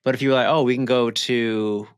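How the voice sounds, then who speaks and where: A man's voice: medium pitch, steady tone, fairly quick, clean tone, dry recording, clean studio sound. An American man co-hosting a podcast in casual, conversational banter. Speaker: podcast co-host.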